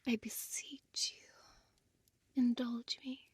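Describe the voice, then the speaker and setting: A woman playing a seductive, possessive female vampire in an intimate whispered audio roleplay, with slow, deliberate, teasing formal speech, close to the microphone. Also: a little high, flat delivery, no room sound, full character voice. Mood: teasing, vain. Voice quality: breathy whisper. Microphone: close dry studio microphone.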